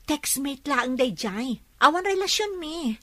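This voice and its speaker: High, animated young Ilocano sweetheart. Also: female voice, a little slow, no room sound, full character voice. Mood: defensive, indignant. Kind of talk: Ilocano radio drama acting.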